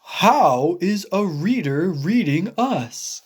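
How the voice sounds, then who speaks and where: A man voicing a big, naive, anxious male elephant in an animated picture-book read-aloud, earnest and easily astonished. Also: high-pitched, slow pace, breathy edge, voice pushed down, heavily acted. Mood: puzzled.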